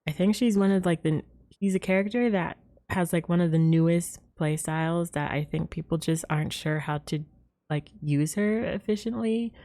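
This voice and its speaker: A woman's voice: lowish voice, moderate pace, warm tone. A woman hosting a casual gaming livestream, chatting with viewers in a bubbly, giggly way. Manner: bubbly greetings, giggles often. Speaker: live streamer host.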